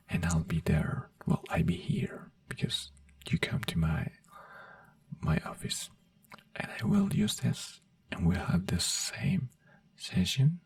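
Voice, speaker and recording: Slow whispering ASMR performer, non-native English speaker. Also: low-pitched, far from the mic. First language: not English. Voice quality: breathy whisper. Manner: gentle, reassuring personal attention. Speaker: ASMR performer playing a brain doctor. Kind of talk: soft-spoken whispered ASMR roleplay.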